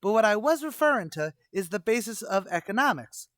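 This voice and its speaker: Brash, know-it-all tavern boss played by a man for old-time radio comedy; swooping, raised pitch, colloquial bluster. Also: very animated, fairly quick, a bit bright, dry recording, studio quality. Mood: pompous lecturing. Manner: pompous, malapropism-prone, colloquial. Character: brash, self-important tavern manager mangling big words.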